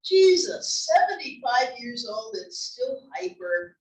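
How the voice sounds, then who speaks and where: A woman's voice: a little high, sing-song, takes it slowly. A woman reading the part of a stylish, teasing, affectionate wife in her seventies in a comedy table read. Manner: wry, teasing. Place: library room reading before an audience. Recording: echoey room, distant mic.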